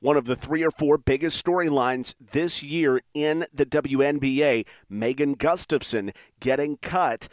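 A man's voice: a little high, measured pace, hardly any pauses, dry recording. Enthusiastic male sports podcast host. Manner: enthusiastic, chatty. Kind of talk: sports interview and show outro.

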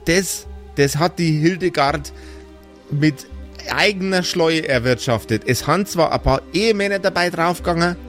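A man's voice: on the high side, normal speed, fairly bright tone, soft background music, lightly acted. A man voicing a weary, nagging mother with a southern German or Austrian accent, exasperated and pleading in an improvised audio play. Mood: coaxing. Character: weary nagging mother pushing her grown sons to work.

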